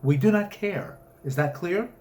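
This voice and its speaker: A man voicing a sharp, impatient club member who speaks with exasperated, wordy politeness in a story reading. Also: sits high, expressive, a little slow, slight background noise, voice pushed up.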